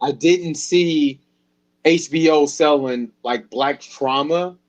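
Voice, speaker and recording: A man hosting a casual interview, relaxed and quick to laugh. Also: a little high. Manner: relaxed, laughs easily, many short agreements. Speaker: show host. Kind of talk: casual conversation.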